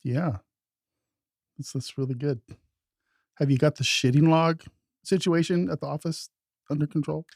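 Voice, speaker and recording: A man's voice: a little high, vocal fry, a little mellow, no echo, clean studio sound. A man co-hosting a gaming podcast, teasing and joking in casual chat. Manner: joking, quick to laugh, teasing. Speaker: podcast co-host.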